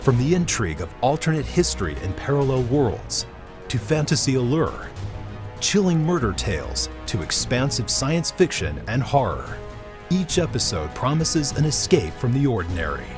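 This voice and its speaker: A man's voice: mid-range voice, sing-song, normal speed, over music. A man announcing in a scripted promotional voice-over.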